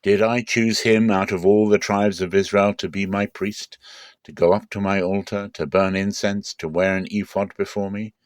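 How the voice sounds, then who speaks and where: A stern prophet voiced by a man proclaims divine judgment in a dramatic scripture reading. The delivery is solemn, forceful and declamatory, with a close, dry sound. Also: on the low side, level tone, moderate pace, no echo, lightly acted. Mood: stern rebuke.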